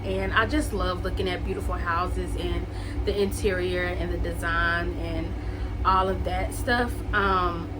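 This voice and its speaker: A woman's voice: lowish voice, fairly even intonation, unhurried, background noise. A woman in her late twenties chatting casually to her viewers, full of "like" and "um" and calling them y'all. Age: 20s. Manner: chatty, lots of likes and ums, addresses viewers as y'all and guys. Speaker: vlogger. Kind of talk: casual get-to-know-me chat to camera.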